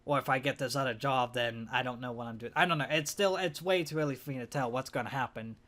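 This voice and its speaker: A young man with a hoarse voice and a stuffed-up nose, rambling hesitantly in a casual vlog for his gaming channel. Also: medium pitch, fast, sharp tone. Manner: rambling, hesitant, self-conscious.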